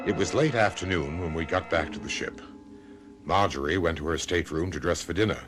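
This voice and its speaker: An actor playing a suave, dryly teasing husband who also narrates, wry and urbane, in an old-time radio drama. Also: male voice, deep voice, a little fast, music in the background, natural voice. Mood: narrating.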